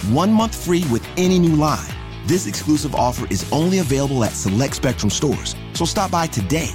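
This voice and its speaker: A man reading a scripted promotional radio advert as its announcer. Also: medium pitch. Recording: produced ad over prominent music. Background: music.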